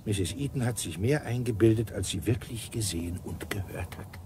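An actor playing a shrewd, sardonic, dogged police inspector in a German radio crime drama, commanding and dry with muttered asides. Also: male voice, lowish voice, normal speed, a little mellow, faint music behind, natural voice. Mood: probing.

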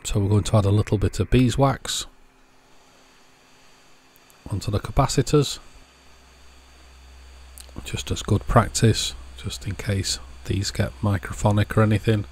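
A man narrating a hands-on electronics demonstration as host, explaining step by step in a measured way. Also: on the low side, fairly even intonation, darkish tone, breathy edge. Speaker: host of an electronics repair channel.

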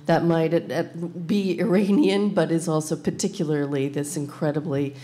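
A woman's voice: low voice, steady tone, normal speed, dark tone. A woman giving remarks as a panelist in a discussion, recorded at an indoor event. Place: indoor panel event in a plain gallery room. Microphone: handheld microphone. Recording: clear background room noise.

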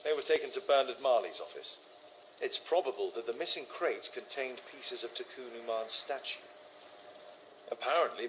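A British gentleman sleuth from a Victorian mystery game. He is measured and observant, his pitch moving freely as he reasons. A clear sizzling ambience runs behind him. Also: slight background noise. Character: composed, incisive Victorian gentleman detective. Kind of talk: scripted video game dialogue and deductions.

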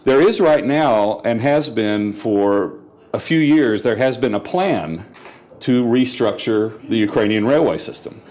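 An American man in his sixties speaking measured panel remarks into a handheld mic in a conference hall, with dry asides and some restarts. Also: mid-range voice, darkish tone. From United States.

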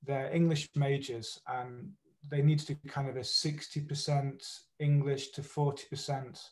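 A male university professor presenting at an online conference, casual and halting, with many fillers and restarts. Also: medium pitch, flat delivery. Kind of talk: academic presentation over video call. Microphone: video call microphone.

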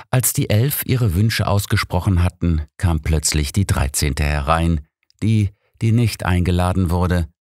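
A man's voice: low voice, darkish tone, no room sound, studio quality. A man reading a scripted story as an audiobook narrator.

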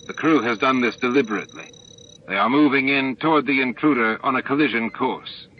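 A man's voice: mid-range voice, big pitch swings, normal speed, slight background noise. Man as a blunt, urgent space officer. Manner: urgent, matter-of-fact. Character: alert, blunt male space station officer. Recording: dull lo-fi audiobook tape recording.

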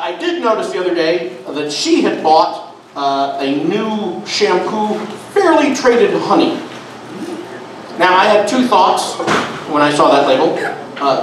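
A middle-aged man performing a sardonic stand-up comedy set on a small club stage, with deadpan asides and lots of "uh" fillers. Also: high voice, background noise. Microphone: handheld mic, filmed from the back of the room. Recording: echoey club audio from far off. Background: audience laughter.

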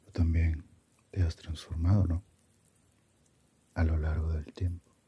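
Casting: male performer as calm, philosophical Death for ASMR roleplay; very low, husky, breathy half-whisper, gentle and musing. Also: muffled recording, heavily acted. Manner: unhurried, musing, asks reflective questions.

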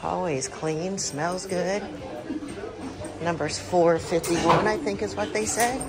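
A woman's voice: fairly low pitch, slow pace, very noisy. A woman giving casual vlog commentary while filming inside a storage facility. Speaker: vlogger filming the auctions. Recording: busy crowd chatter behind.

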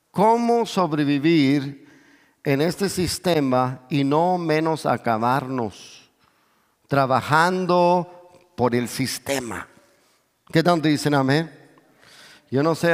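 A middle-aged man preaching in Spanish, with as his language, who peppers his sermon with hallelujahs, calls for amen, and swells toward near shouts. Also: on the high side. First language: English. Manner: moves about and gestures on stage, voice swells to near shouts. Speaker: pastor. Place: large church stage. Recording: long reverb of a big church hall.

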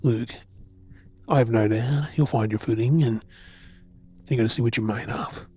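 An Australian man playing a kind, reassuring rescuer in an intimate ASMR role-play, speaking softly and soothingly. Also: mid-range voice, close to an everyday voice. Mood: encouraging. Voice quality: soft, breathy. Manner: gentle, soothing, with pauses. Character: kind, reassuring male rescuer tending an injured woman.

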